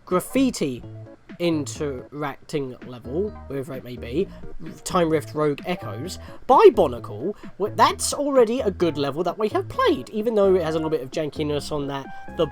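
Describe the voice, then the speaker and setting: Male game video host, animated pitch, chatty and rambling delivery, music underneath. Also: normal speed. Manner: rambling, self-correcting. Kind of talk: chatty gameplay commentary.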